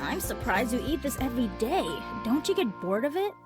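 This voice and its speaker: Casting: woman as outspoken cartoon teen girl, high and animated, emphatic, music behind. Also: fairly quick. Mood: questioning.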